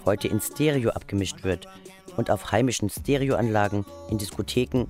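A man's voice: fairly low pitch, normal speed, a little mellow. A man reading scripted narration for a radio documentary. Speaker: feature narrator. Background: guitar music.

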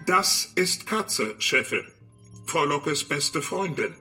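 Nervous, sharp-voiced underling. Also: male voice, on the high side, lively intonation, takes it slowly, faint music behind, exaggerated voice. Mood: deferential, nervous. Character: nervous, deferential male informant underling. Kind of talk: children's radio play acting.

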